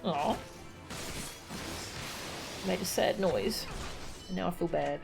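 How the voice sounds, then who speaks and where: Casting: woman game streamer, lowish pitch, casual chatty commentary, music playing behind. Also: slow pace, vocal fry.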